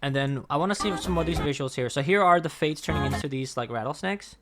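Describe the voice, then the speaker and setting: A man's voice: a little high, fast, noisy background. A man whose first language is Danish, hosting a casual music reaction and talking in a rambling way with many fillers and restarts. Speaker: reaction video host.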